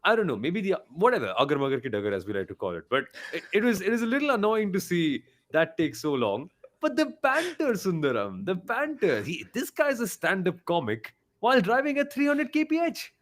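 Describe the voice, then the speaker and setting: A man's voice: high-pitched, big pitch swings, brisk, hardly any pauses, a bit bright. A man with an Indian accent chatting as a podcast panelist, playful and opinionated in casual motorsport banter.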